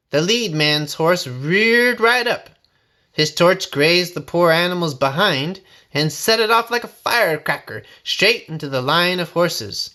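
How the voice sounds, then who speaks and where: Animated, bright-voiced man reading a story. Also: fairly high pitch. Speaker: storybook reader. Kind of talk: dramatic scripted storytelling, read aloud in folksy first person.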